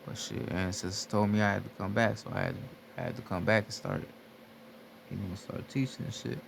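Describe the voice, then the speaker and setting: Gravelly guy doing a casual spiritual rant, blunt, cusses a lot, little bit of hiss behind him. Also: on the low side, fairly even intonation, fairly quick. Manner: blunt, repetitive, heavy profanity. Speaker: spiritual teacher. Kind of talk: casual motivational monologue.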